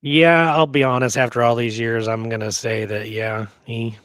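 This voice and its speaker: A man's voice: medium pitch, flat delivery, normal speed, fairly bright tone, no room sound. A man hosting a casual podcast, recounting history in a colloquial, emphatic way and often checking that the listener follows. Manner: colloquial, emphatic, punctuates points with check-in questions. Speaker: podcast host and history researcher.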